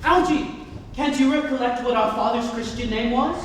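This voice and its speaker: A young man playing an excitable, earnest Victorian gentleman in a theatrical reading of a stage comedy. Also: extremely high pitch, normal speed, crowd noise. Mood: urgent. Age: young adult. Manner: theatrical, exclamatory. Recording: echoey hall from far off, noisy.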